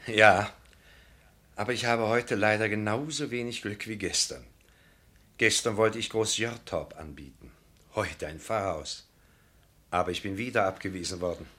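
Low, forceful gentleman ironworks owner. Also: moderate pace, long pauses. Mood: rueful. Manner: polite, formal. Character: courteous wealthy ironworks owner, self-deprecating gentleman suitor. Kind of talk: German radio play acting.

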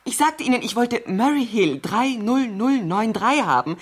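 An actress playing a wealthy married woman in a radio crime drama, agitated, demanding and haltingly insistent on the telephone. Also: a little high, animated, breathy edge. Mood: panicked, urgent. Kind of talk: 1970s German radio crime drama acting.

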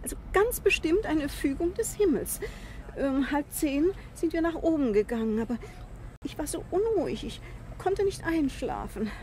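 An actress in a 1960s German radio play voicing a small, eccentric old spinster, plaintive, wistful and rambling. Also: female voice, high-pitched, sing-song, normal speed, darkish tone, a little hiss or hum. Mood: rambling, hesitant.

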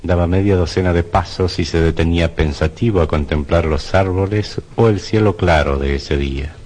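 A man narrating a scripted radio drama in a measured, literary storytelling manner. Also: sits low, darkish tone.

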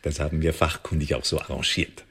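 An actor playing a menacing secret-service interrogator in a historical radio drama, speaking with sardonic, officious politeness. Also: male voice, rumbling low, flat delivery, fairly quick, fairly bright tone, breathy edge, heavily acted. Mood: coolly coercive. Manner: sardonic, threatening, ornately officious phrasing.

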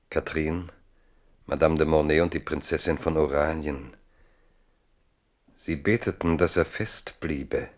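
A man narrating a historical radio drama as a measured, solemn literary storyteller. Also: a bass voice. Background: music.

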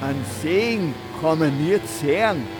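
An actor playing a country father who speaks slowly and insistently in a radio play. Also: on the high side, expressive, over music. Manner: slow, insistent, repetitive.

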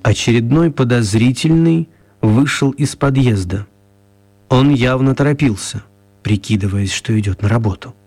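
A man narrating a children's radio play as an expressive storyteller, with theatrical, deliberate phrasing. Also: medium pitch, soft background music.

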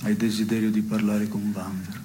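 An actor playing a dry, probing man in an absurdist family dialogue, speaking in deliberate, mock-formal phrases in a radio stage play. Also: fairly low pitch, flat delivery, background noise, lightly acted. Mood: pensive, provoking.

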